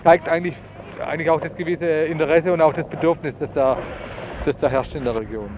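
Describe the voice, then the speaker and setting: A man's voice: fairly high pitch, fairly even intonation, fairly quick. A man who organizes a citizens' initiative, giving a reflective assessment of a protest in an interview. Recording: dull outdoor recording with loud traffic.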